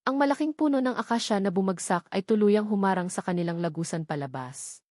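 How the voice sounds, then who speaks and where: A woman reads a romance audiobook in a dramatic storytelling style. Her voice sits at a medium pitch. The sound is close and dry, with no room around it. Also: lively intonation, brisk, a little mellow, no echo. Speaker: audiobook narrator.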